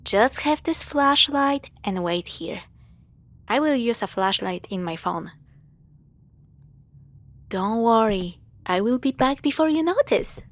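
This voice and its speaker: A woman playing a caring, playful young girlfriend in a spooky audio roleplay, talking straight to her partner in halting conversational phrases with sighs. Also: fairly high pitch, expressive, dry recording. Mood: playful, reassuring. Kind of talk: spoken roleplay to the listener.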